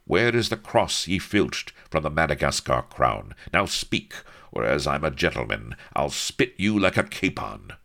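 Man playing a haughty, steely gentleman adventurer. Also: rumbling low, big pitch swings, measured pace, gravelly, few pauses, full character voice. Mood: snapping. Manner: clipped, scornful, archaic formal speech.

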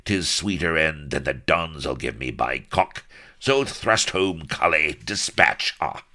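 Big hairy pirate captain, wheezy gravelly bellow, cocky and defiant, storybook stuff. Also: male voice, rumbling low, expressive, slow, sharp tone, voice pushed up, full character voice. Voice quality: wheezy growl. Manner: bawling, oath-laden archaic pirate talk.

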